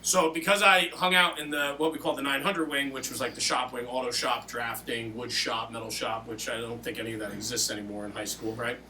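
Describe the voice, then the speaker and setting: A man's voice: mid-range voice, flat delivery, a little fast, few pauses, sharp tone, distant mic, slight background noise, low-quality recording. A man hosting an interview, telling personal anecdotes in a casual, colloquial way. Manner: casual, colloquial storytelling with reenacted dialogue. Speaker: podcast host and volunteer firefighter.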